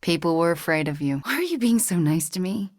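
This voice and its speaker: A young sorceress from a video game, with a fairly low female voice in a dry, close studio sound. She is guilt-ridden yet wry and guarded. Her delivery is earnest and often hushed. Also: big pitch swings, brisk, no echo. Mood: wry, conversational. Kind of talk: acted video game dialogue.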